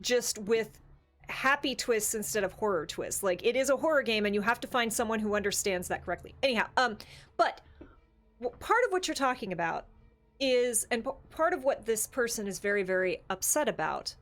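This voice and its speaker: A high-pitched woman talks casually as a podcast co-host about tabletop gaming. She is animated and opinionated, as if thinking out loud among friends. Faint music plays behind her. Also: moderate pace.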